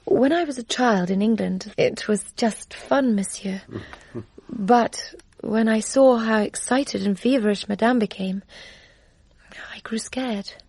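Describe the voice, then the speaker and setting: An actress playing a gentle, anxious young Englishwoman recounting her ordeal in a radio drama, in a soft, breathy voice. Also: a little high, takes it slowly, heavily acted. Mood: sad, hushed. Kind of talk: radio drama acting and narration.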